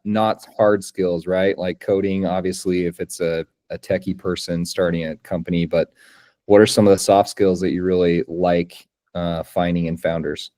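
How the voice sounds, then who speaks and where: A man's voice: deep voice, dark tone. A man in his thirties hosting an interview podcast, friendly and enthusiastic, with many fillers. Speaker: podcast host.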